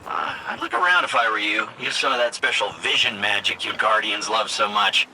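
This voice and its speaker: A man's voice: on the low side, very animated, moderate pace, gravelly, bright, forceful tone, a little hiss or hum, full character voice, low-quality recording. A man playing a shifty, wry informant in a video game, hesitant and casual in his talk. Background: faint music.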